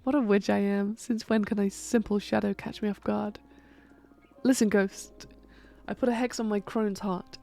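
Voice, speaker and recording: A woman's voice: mid-range voice, fairly even intonation, moderate pace, vocal fry, dark tone, soft background music, close to an everyday voice. A woman voicing an old witch and necromancer, blunt, sardonic and crude-mouthed, in an audio drama. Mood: menacing. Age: older.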